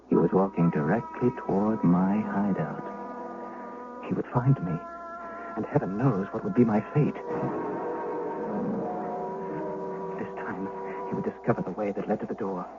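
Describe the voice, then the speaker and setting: A man's voice: lowish voice, close to an everyday voice. An actor playing a humble, fearful shepherd who tells his tale with dramatic suspense in an old-time radio drama. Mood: fearful, agitated. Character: fearful humble shepherd recounting what he witnessed. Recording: hissy, muffled old radio broadcast.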